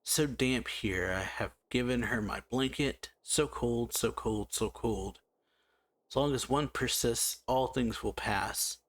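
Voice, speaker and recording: A man hosting a let's-play, casually commenting and slowly reading game text aloud, with frequent musing hums. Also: medium pitch. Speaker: gameplay channel host.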